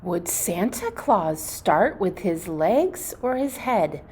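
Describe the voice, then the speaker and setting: A woman reading a storybook aloud to children, expressively and deliberately, phrase by phrase. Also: on the low side, big pitch swings, a little mellow, slight background noise.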